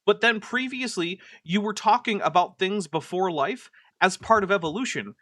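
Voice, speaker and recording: A man's voice: high-pitched, normal speed, a bit bright, no echo. A man hosting a live stream, reacting to a video in casual, exasperated commentary full of "like" fillers. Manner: chatty and exasperated, many "like" fillers.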